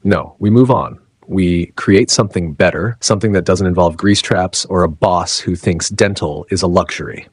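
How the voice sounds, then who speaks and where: World-weary cartoon squid , male reader: superior and dry, low husky voice. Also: normal speed, hardly any pauses, no echo. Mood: resolute.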